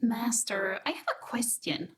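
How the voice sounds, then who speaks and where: A woman playing a timid, devoted young female assistant, soft and deferential, in a sci-fi audio drama. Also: on the high side, a little slow, slight background noise.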